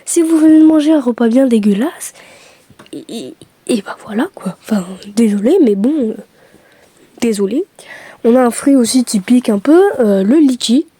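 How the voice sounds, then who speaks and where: A woman's voice: on the high side, lively intonation, moderate pace, dark tone, slightly rough, no echo. A young woman from France chatting casually to her camera, hesitant with many fillers and self-mocking asides. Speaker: vlogger.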